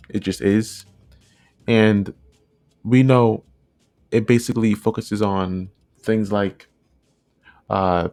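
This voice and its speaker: A man giving casual, unscripted commentary, rambling along and often repeating and rephrasing himself. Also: fairly low pitch. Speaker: video creator, narrator.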